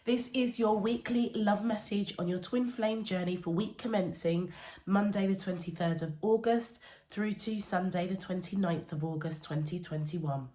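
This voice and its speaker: A woman's voice: mid-range voice, normal speed, mellow. A woman with a cold and a stuffy nose, giving a weekly tarot card reading in an earnest, meandering way with frequent hesitations. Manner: earnest, addresses the listener directly. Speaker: tarot reader.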